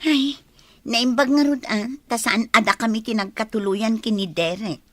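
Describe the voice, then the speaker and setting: A woman's voice: fairly high pitch, normal speed, a bit bright, no room sound, natural voice. An actress playing an emotional village farm woman in an Ilocano radio drama. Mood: conversational. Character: village farm woman, anguished daughter losing her mother.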